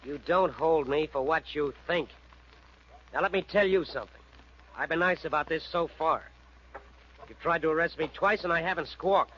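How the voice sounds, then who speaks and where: Actor as insolent, higher-pitched radio crook, man. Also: normal speed, fairly bright tone, lightly acted. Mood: threatening. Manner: insolent, clipped, tough. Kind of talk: 1940s radio drama acting. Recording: muffled, hissy vintage radio recording.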